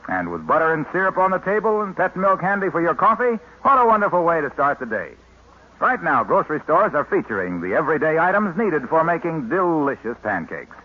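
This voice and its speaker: A man's voice: on the high side, big pitch swings, brisk. A man announcing a 1950s radio show and reading its sponsor ad in an upbeat, hard-selling way. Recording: muffled 1950s radio broadcast.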